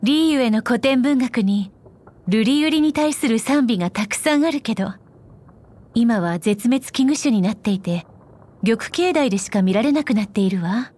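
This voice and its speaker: A woman playing a poised, shrewd, wealthy noblewoman in an anime game, speaking in an elegant, composed, teasing and deliberate manner. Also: fairly high pitch, animated, a little mellow. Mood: shrewd, assured. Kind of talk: scripted anime game character voice lines.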